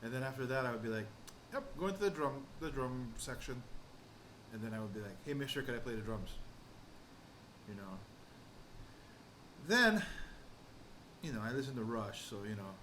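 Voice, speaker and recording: A man's voice: medium pitch, expressive, moderate pace, pauses often, fairly bright tone, rough recording. A man talking casually as a musician hosting a vlog, rambling and often repeating or correcting himself. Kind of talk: casual storytelling and drum kit tour. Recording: clear background noise and room ambience.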